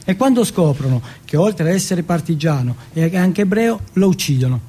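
A man from Turin performing a narrative theatrical monologue, reciting deliberately phrase by phrase. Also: on the high side, lively intonation, mellow, breathy edge. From Turin, Italy.

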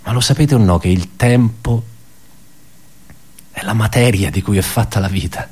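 An actor playing an embittered, pedantic male office worker in a radio theatre monologue, halting and repeating his words as he puts rhetorical questions. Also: fairly low pitch, darkish tone, slightly rough. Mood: accusing, anguished.

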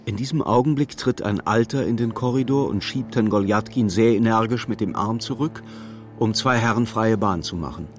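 An actor as the composed storyteller of a radio play, narrating in a measured, matter-of-fact literary style. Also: male voice, fairly low pitch, faint music behind, lightly acted. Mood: composed, observant. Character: composed male storyteller observing a hapless clerk's misadventures.

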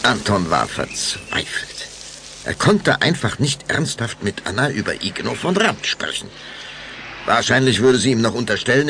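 A male narrator tells a children's radio story with dramatic, animated intonation. His voice is gravelly and creaky. Clear noise sits behind it on an old recording. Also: mid-range voice.

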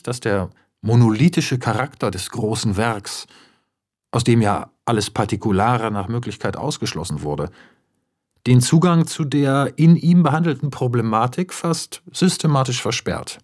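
Male narrator for literary radio, mid-pitched voice, measured scripted delivery. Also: expressive, darkish tone. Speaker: narrator reading screenplay and essay.

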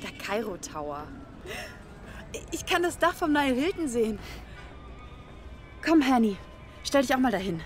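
A woman's voice: fairly high pitch, sing-song, unhurried, pauses often, soft background music. An actress playing a cheeky, curious young German woman in a naturalistic radio drama. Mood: playful, teasing.

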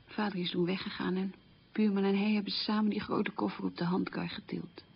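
A woman playing someone haunted by memories of wartime hunger, telling them haltingly in a reflective radio monologue. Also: medium pitch, level tone, creaky, husky, dull audio. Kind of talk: radio documentary-drama monologue, reminiscing.

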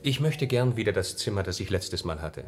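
An actor playing a persistent, dryly ironic newspaper reporter in a radio crime play. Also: male voice, on the low side, animated, fairly quick, lightly acted. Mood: polite, insistent. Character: persistent, wry male newspaper reporter checking into hotel.

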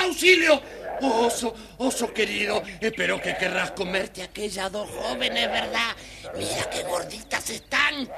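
Squeaky, creaky, grumpy little dwarf. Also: male voice, exaggerated voice. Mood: panicked pleading. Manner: whining, scolding, exclamatory. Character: grumpy, ungrateful, greedy little male dwarf. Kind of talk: children's audio storybook acting. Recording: noisy old children's record.

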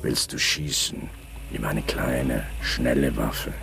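An actor playing a very fat, menacing gun dealer in a radio crime drama, taunting and drawling. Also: male voice, a bass voice, steady tone, slow pace, gravelly, a bit bright, soft background music, exaggerated voice. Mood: menacing advice.

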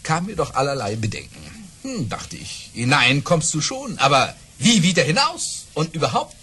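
An actor playing a boastful baron and officer, telling tall tales grandly and dramatically in for a radio play. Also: male voice, a little high, huge pitch swings, normal speed, bright, forceful tone, husky, heavily acted. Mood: suspenseful, amused. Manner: grandiose, dramatic, amused by his own boasts. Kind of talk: theatrical radio play storytelling.

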